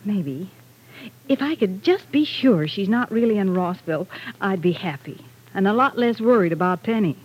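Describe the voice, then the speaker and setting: A woman's voice: mid-range voice, expressive, normal speed, a little mellow, slightly rough, poor audio. An actress playing a worried, caring middle-aged mother in 1940s radio soap style. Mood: troubled, tender.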